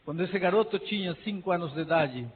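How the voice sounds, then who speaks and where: A middle-aged man from Peru, a pastor with a Peruvian Spanish accent, preaching an impassioned sermon that swings from soft pleading to near shouting. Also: high-pitched, fast, slightly rough. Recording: tinny lo-fi sound in a reverberant hall.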